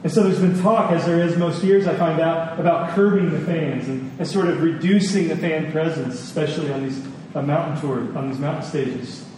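A man's voice: fairly high pitch, a little mellow. Man preaching in a very echoey hall. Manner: conversational, with emphatic repetition and pauses. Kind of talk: sermon with personal stories. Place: large reverberant room with an audience. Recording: echoey hall, distant, faint noise.

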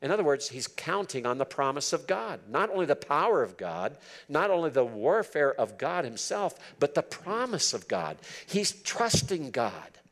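A man's voice: a little high, very animated, moderate pace, a bit bright. A man in his seventies giving a Bible teaching, reading verses aloud and explaining them earnestly. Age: 70s. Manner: earnest, switches between reading aloud and commentary. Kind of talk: sermon, reading and explaining scripture.

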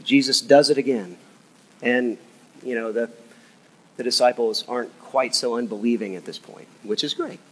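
A man, an assistant pastor, preaching a sermon in his church, his voice a little hoarse from a cold. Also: mid-range voice, moderate pace, low-quality recording. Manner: earnest, drops to a hushed, soft voice in prayer. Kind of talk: sermon and prayer. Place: church sanctuary.

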